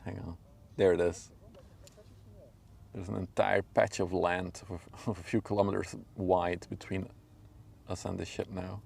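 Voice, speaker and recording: A man's voice: deep voice, creaky, darkish tone. A man from Belgium, a native Dutch speaker with a Flemish accent, chatting casually in an easygoing, rambling way as he livestreams from a riverbank. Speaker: live streamer, drone pilot. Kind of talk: casual livestream chat and commentary. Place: outdoors on a riverbank. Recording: faint outdoor background noise.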